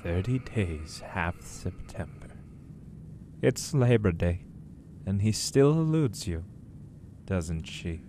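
A man plays a creepy, calculating villain in a noir radio drama. He speaks slowly and deeply, in cryptic menace, over clear background noise. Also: lively intonation, mellow, exaggerated voice. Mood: sly menace. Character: creepy, calculating imprisoned male madman.

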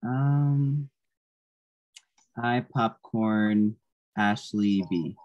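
A man's voice: lowish voice, drawn-out words, lo-fi sound. A man casually introducing himself and answering questions on a group video call.

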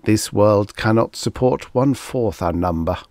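A man's voice: fairly low pitch, measured pace, a little mellow, no room sound. A man voicing a stern, dutiful male wind creature with a stiff, stubborn, scolding manner in a narrated story. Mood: stiff, disapproving.

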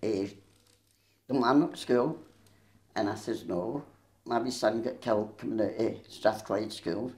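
An older Scottish woman with a gruff Glaswegian voice tells stories from her life in a chatty way and laughs easily. She speaks into a handheld mic in a small room with a noticeable echo. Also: extremely low pitch, a little mellow. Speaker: interviewee. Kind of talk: oral history interview. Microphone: handheld mic held near her.

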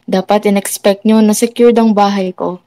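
Woman playing a mellow, smug villainous superhero. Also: mid-range voice, steady tone, moderate pace, lightly acted. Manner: smug, taunting. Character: gloating fake superhero woman with evil plans. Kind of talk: scripted game roleplay acting.

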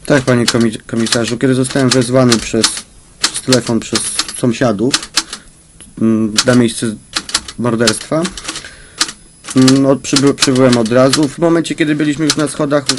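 A man's voice: mid-range voice, steady tone, close to an everyday voice. Man playing a flustered police sergeant, very noisy recording. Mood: nervous, halting. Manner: halting, stammering report. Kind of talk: crime radio drama acting.

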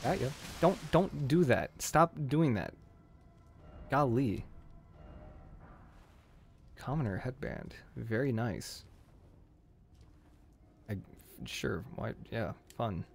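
A man with a hoarse voice, casually commenting on his gameplay and joking at the enemies on screen. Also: medium pitch, expressive, slow, long pauses, slight background noise. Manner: jokey, talks to enemies on screen. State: hoarse from screaming. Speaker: game streamer.

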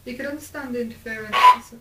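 Distant woman with very lively pitch. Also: very fast. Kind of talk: casual chat. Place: dim living room at night. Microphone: handheld camera some distance away. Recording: roomy, distant, noisy camera microphone.

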